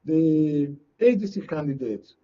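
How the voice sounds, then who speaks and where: A man's voice: fairly high pitch, lively intonation, unhurried. A Nigerian man hosting a conversational political discussion and putting questions to his guest. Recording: muffled, distant mic, lo-fi sound.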